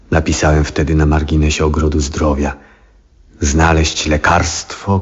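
An old, dying astronomer in a radio play, with an extremely low, breathy voice. He muses slowly and wearily, full of quiet awe, often sinking to a hush. The old recording sounds dull and muffled. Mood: reflective. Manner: slow, pensive soliloquy with rhetorical questions. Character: weary old male astronomer near death, reflective, awed. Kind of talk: 1970s Polish radio drama acting.

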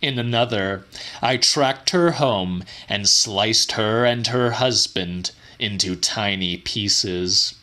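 A man reading a horror audiobook in a measured, theatrical way. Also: on the low side, pushed and bright. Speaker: audiobook narrator. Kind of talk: dramatic horror audiobook narration.

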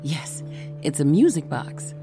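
Woman acting tactful woman, gently probing, low voice, lively intonation, audio drama, music behind. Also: takes it slowly, exaggerated voice. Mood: gentle coaxing.

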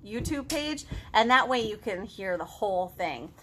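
A woman in her thirties leading a storytime for young children, expressive and encouraging, with simple child-directed phrasing. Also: mid-range voice, moderate pace, a little hiss or hum. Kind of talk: animated children's storytime, reading aloud and chatting to young children. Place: living room at home.

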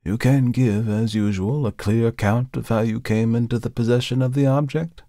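A man's voice: fairly low pitch, lively intonation, normal speed, creaky, a little mellow, no echo, voice pushed up. A man voicing a suspicious, sneering little antiques dealer in an audiobook, with a dry, biting, mock-polite delivery. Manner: sly chuckling, mock-polite. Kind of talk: audiobook character reading.

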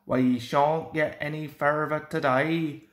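A woman voicing a calm, matter-of-fact train driver in a children's storybook. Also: sits low, takes it slowly.

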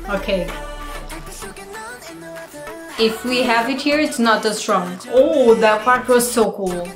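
A young woman, Portuguese her first language, gushes and exclaims in loose, unhurried speech. Her earphone mic catches an echoey home room. Faint music plays behind her. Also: a little high. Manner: gushing, exclamatory, laughs often. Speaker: K-pop reaction vlogger. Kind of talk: casual reaction commentary. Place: small bright room at home, indoors. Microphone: earphone headset mic.

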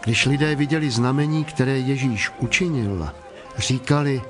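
Guy narrating bible story, mid voice, measured, music playing behind. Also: medium pitch. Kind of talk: scripted scripture narration. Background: prominent music.

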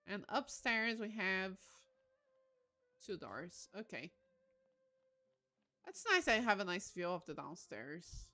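A woman hosts a casual gaming livestream with a middle-pitched, highly expressive voice. She muses aloud as she plays, breaking into enthusiastic exclamations, and her intonation moves up and down freely. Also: slow, long pauses, soft background music. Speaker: livestream host. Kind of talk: casual game commentary.

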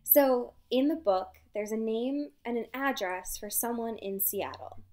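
A woman co-hosting a podcast, casually recapping a story in an animated way and acting out bits of dialogue. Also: on the high side, unhurried. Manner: animated retelling, acts out dialogue. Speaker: podcast co-host. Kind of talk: casual chat recapping a novel.

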